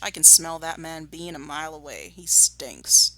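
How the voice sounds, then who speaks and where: Woman acting father fox, wary, confident, terse, scornful, low-leaning bright voice, storybook. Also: lively intonation, normal speed, no room sound, full character voice, low-quality recording.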